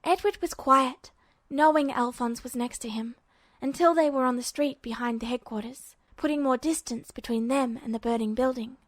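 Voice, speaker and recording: A woman's voice: sits high, normal speed, hardly any pauses, dry recording. A woman reading scripted fiction aloud as a story narrator.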